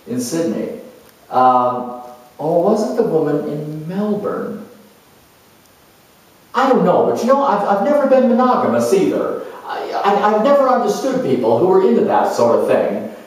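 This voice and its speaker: A man playing an exuberant, reckless, boastful free spirit who refuses to grow up, rambling brashly in a solo dramatized monologue. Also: fairly high pitch. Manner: excitable, brash, rambling and unapologetic. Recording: echoey room, mic at a distance.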